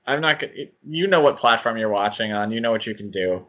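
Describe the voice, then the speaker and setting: A man's voice: lowish voice, animated, fast, pushed and bright, muffled recording. A man hosting a podcast in a casual, conversational chat style. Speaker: podcast host.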